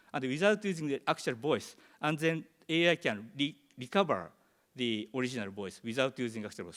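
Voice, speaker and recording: Japanese man presenting in a reverberant hall. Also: mid-range voice. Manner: halting, searching for words in English. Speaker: conference presenter. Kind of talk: conference presentation. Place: large conference hall.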